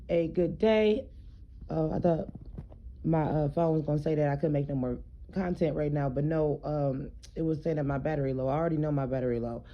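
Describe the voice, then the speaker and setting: A woman with a raspy, slightly hoarse voice, chatting casually to her followers in a home vlog while she does her hair, loose and streetwise with plenty of fillers. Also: fairly low pitch, dull audio. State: hoarse, throat congested. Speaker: vlogger.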